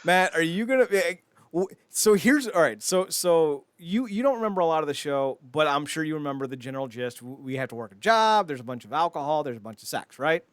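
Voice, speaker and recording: A man with a fairly high voice chats casually as a podcast host. His pitch swings widely as he riffs and swears. The sound is very dry. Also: a little fast, clear, resonant tone, dry recording. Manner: animated riffing, swears casually. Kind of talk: casual comedic podcast chat.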